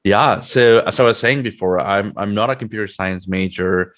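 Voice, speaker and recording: A man's voice: lowish voice, normal speed, fairly bright tone. A man from Mexico City with a Mexican accent, a native Spanish speaker, answering interview questions conversationally as a guest. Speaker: interview guest, product manager.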